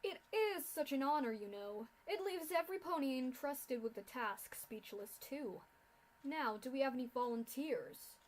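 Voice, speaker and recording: A woman voicing a cruel, smug male pegasus official who speaks loudly and clearly to captives. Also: sits high, animated, moderate pace, low-quality recording. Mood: gloating. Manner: loud and clear, gloating.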